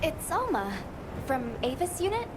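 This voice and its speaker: A woman's voice: sits high, very animated, measured pace, background noise. A woman playing an earnest, polite young expedition handler in a video game, courteous and articulate. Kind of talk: video game cutscene acting.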